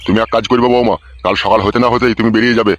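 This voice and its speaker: Casting: bossy cursing household patriarch for comic cartoon male actor, mid-pitched, very rapid, lo-fi recording. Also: steady tone, dry recording, natural voice. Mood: firm, advising. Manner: gruffly cursing, commanding. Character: crude, bossy father-in-law and head of household. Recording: dull, lo-fi sound.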